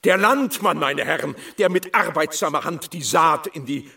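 An actor playing a pompous official giving a bombastic, declamatory public speech to farmers in a radio drama. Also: male voice, a little high, normal speed, gravelly, pushed and bright. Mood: rhetorical, self-important. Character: pompous male official orator addressing farmers. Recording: distant, off-mic public address.

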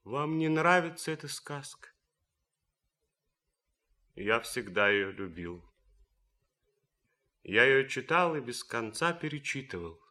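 An actor playing an idealistic, romantic young German officer in a radio play, declaiming rhapsodically with deliberate pauses. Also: male voice, medium pitch, lively intonation, bright, forceful tone. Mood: earnest, moved.

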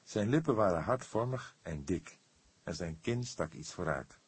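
Male audiobook narrator for crime fiction: deep register, raspy edge, expressive scripted reading, very dry recording. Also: measured pace, no room sound.

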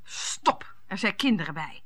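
An actress in a radio play, playing a jealous, sarcastic and indignant wife who speaks bluntly. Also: female voice, mid-range voice, sing-song, unhurried, a bit bright. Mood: scornful, impatient.

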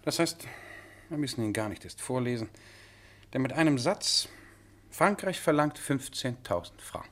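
A government official running a meeting in a radio play. His voice is of middle pitch. He speaks in measured, official phrases with weary exasperation. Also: animated, fairly bright tone. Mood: exasperated. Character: official chairing a cabinet meeting, formal and exasperated. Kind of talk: German radio play acting.

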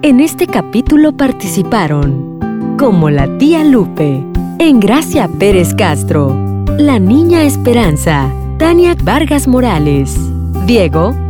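Unhurried woman announcer over music. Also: medium pitch. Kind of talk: scripted radio credits announcement.

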